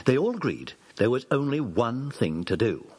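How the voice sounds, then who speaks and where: Breathy male audiobook narrator. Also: mid-range voice, big pitch swings, normal speed, darkish tone. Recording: old cassette audiobook recording.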